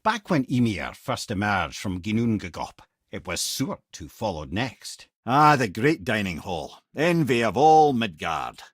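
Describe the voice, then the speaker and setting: A man's voice: fairly low pitch, unhurried, hardly any pauses, no room sound, studio quality. An actor playing a wise, talkative older male sage in a video game, with a Scottish accent and a wry, storytelling manner. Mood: solemn storytelling. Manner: expressive, wry, storytelling with colloquial lilt.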